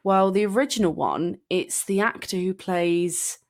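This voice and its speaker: A woman co-hosting a book podcast in casual chat, her deep voice croaky from a cold, chatty and self-deprecating. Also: measured pace. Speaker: podcast co-host.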